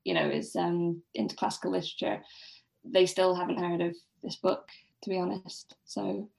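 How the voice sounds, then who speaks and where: A woman's voice: on the low side, flat delivery, normal speed, vocal fry, a little mellow, poor audio. A woman speaking as a podcast guest, discussing literature casually in a tentative, self-deprecating way.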